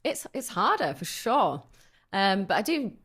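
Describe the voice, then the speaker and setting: A woman's voice: mid-range voice, unhurried, breathy edge. A woman in her forties, a fitness coach, talking frankly and telling stories in a casual interview with easy laughter. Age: 40s. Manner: frank, lively storyteller who laughs easily.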